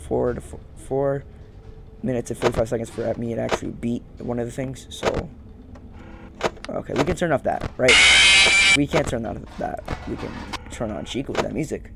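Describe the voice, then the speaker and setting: A man's voice: medium pitch, moderate pace, creaky, heavy background noise. A young man giving casual gameplay commentary, rambling and muttering as he talks to the game's characters. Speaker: gaming streamer. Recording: loud game music behind voice.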